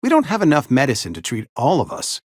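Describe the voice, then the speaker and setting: An actor playing a fussy, mournful, company-loyal frontier barber and undertaker in a video game, speaking in formal, wordy, over-polite phrases. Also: male voice, medium pitch, huge pitch swings, fast talker, husky, no room sound, studio quality. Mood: earnest, stoic. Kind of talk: theatrical video game character acting.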